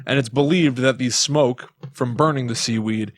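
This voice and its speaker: A man's voice: medium pitch, moderate pace, no room sound. A man hosting a podcast, telling stories in an informal, joking, conversational way. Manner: informal, joking, uses playful quotes. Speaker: podcast host. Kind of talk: casual storytelling chat with a co-host.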